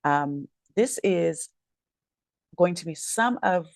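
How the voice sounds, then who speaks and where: A woman's voice: on the low side, expressive, slow, no echo, studio quality. A woman legal commentator talking casually about a court case, her voice tired and worn, rambling and correcting herself as she goes. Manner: rambling, self-correcting, apologetic. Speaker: real estate agent and legal commentator. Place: home, late at night.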